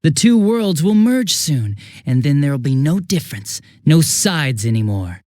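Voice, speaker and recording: An actor playing a smug, mocking young detective turned murderous villain in a video game, swinging theatrically between bored drawl and giddy taunts. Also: male voice, a little high, big pitch swings, a little slow, dark tone, no echo, studio quality. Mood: smugly menacing.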